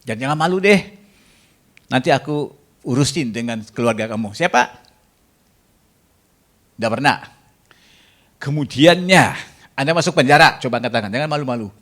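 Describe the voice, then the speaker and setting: A man's voice: mid-range voice, animated, moderate pace, long pauses, fairly bright tone. Guy preaching, cracks jokes, asks the crowd to raise hands, drops english lines in. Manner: jokey, banters with the congregation and asks them to raise hands; mixes in English phrases. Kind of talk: sermon.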